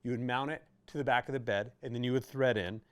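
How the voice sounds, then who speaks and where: A man's voice: mid-range voice, fairly even intonation, quick pace, clear, resonant tone. A man hosting an informal how-to video, explaining products in a conversational, instructional way. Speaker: host of a radio gear how-to video.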